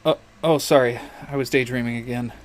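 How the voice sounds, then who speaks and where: A man's voice: mid-range voice, steady tone, a little fast, natural voice. An actor playing an anxious, rattled man, dazed and fretful as he narrates his troubles in an audio drama. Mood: sheepish, distracted. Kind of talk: first-person audio drama narration and dialogue.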